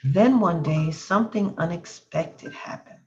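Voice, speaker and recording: A woman's voice: lowish voice, expressive, a little slow. A woman storyteller reading a children's picture book aloud, with a slightly dull recording. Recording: dull lo-fi audio with music. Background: faint music.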